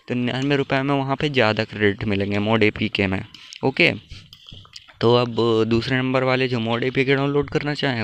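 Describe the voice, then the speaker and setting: A man's voice: mid-range voice, a bit bright, slight background noise. A young man giving a casual, informal app tutorial, talking to viewers like buddies. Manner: informal, addresses viewers as bro. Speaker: tech tutorial YouTuber.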